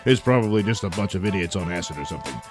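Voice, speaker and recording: A man's voice: sits low, expressive, racing, roomy sound, soft background music. A man voicing a pompous, hot-tempered masked vigilante who bellows insults, in a comedy audio drama. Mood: blustering.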